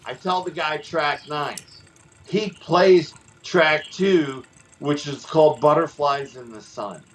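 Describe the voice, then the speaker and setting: A middle-aged man and former wrestler hosting a podcast, telling stories in a rambling, animated way with lots of restarts. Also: a little high, slow pace, slight background noise. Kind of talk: casual storytelling chat.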